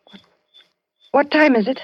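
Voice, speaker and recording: An actress playing a frightened village wife in a radio suspense drama, with a halting, anguished, dramatic delivery. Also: female voice, high-pitched. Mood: nervous, fearful. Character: frightened village wife confessing her fears about her husband. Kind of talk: old-time radio suspense drama acting. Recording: muffled vintage radio recording, loud music.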